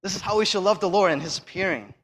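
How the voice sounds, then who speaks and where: Soft-spoken male preacher, slightly high voice, halting delivery with emphatic peaks. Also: a little hiss or hum, poor audio. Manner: hesitant, with fillers and restarts. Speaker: church speaker. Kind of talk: sermon with Bible reading.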